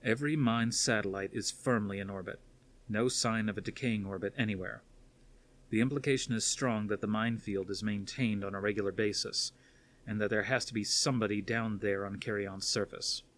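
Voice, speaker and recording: Man reading as a steady, fatherly older commander. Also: on the low side, brisk, close to an everyday voice. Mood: serious.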